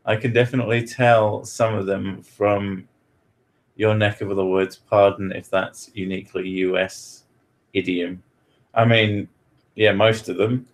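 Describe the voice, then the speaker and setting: Laid-back joking British man, lowish voice. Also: level tone, measured pace. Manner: joking, swears freely, laughs often. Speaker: podcast host answering questions. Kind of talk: casual chat.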